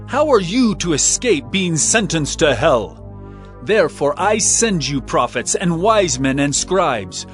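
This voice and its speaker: Male voice, stern prophet, declamatory, fairly high, widely swinging pitch, audio drama, music behind. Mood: scathing, stern. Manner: declamatory, solemn, emphatic. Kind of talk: dramatized scripture reading.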